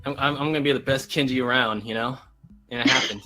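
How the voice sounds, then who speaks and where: A man's voice: mid-range voice, steady tone, fast talker, sharp tone. A man guest chatting casually, with music playing behind him. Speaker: guest voice actor. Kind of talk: casual chat. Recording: background music. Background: guitar music.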